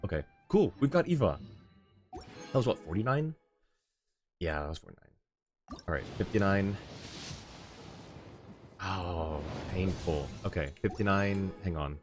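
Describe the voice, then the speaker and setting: Male game streamer, low register, off-the-cuff chat-facing commentary, mutters his thinking aloud, music underneath. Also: lively intonation, measured pace, long pauses. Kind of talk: casual livestream gameplay commentary, reacting and talking to chat. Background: video game music.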